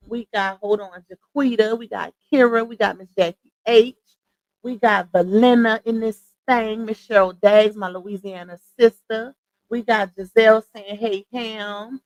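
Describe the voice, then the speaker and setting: A woman hosting a casual livestream, chatting with viewers, greeting them by name and calling them y'all, her voice starting to go hoarse. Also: on the high side, dry recording, rough recording. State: voice going hoarse. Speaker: livestream host.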